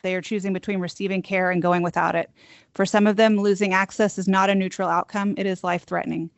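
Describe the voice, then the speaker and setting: A soft-voiced woman, a registered nurse, reading prepared public testimony in a firm, steady manner. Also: mid-range voice, quick pace, no echo. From Texas. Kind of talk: prepared testimony read aloud.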